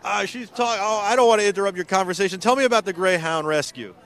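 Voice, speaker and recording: A man's voice: high voice, fast, fairly bright tone. A middle-aged man from New York City hosting a casual live stream outdoors in a city park, chatty and upbeat, with lots of fillers. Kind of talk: casual live walk-around coverage.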